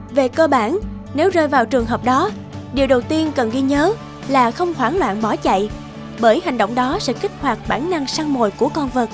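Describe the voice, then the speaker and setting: A high-pitched, cheerful cartoon teacher. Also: female voice. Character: cheerful, articulate young female teacher. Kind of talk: animated dubbed drama.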